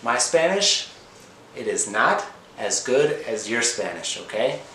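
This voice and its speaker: American guy doing a slow english lesson for learners, repeats himself, echoey room w some background noise. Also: mid-range voice, sing-song, fairly bright tone. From Indiana, United States. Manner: repeats phrases, simple words, frequent "okay?" check-ins; switches between English and Spanish. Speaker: language teacher, channel host.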